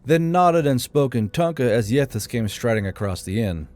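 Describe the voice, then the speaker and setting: A man's voice: medium pitch, expressive, normal speed, a little mellow. A man reading scripted audiobook narration of a story.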